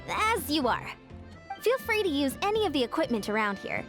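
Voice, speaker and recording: An upbeat sports coach. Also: female voice, high voice, sing-song, a little fast, a bit bright. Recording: game audio with faint music.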